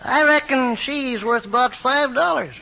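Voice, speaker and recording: Old movie southern farmer, folksy and short on words, gravelly, dull noisy audio. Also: male voice, extremely high pitch, a little slow, pushed and bright, full character voice. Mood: laconic, unhurried. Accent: rural Southern American. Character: laconic, unhurried male pig farmer. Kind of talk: vintage rural screen drama acting. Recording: muffled old film soundtrack, clear noise.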